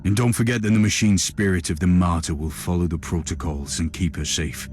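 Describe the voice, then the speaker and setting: An actor playing a stern, devout inquisitor, solemn and formal in a video game cutscene. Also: male voice, sits low, fairly even intonation, brisk, a little mellow, natural voice. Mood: solemn, reflective. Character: stern, devout male imperial inquisitor, resolute warrior. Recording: music behind the voice.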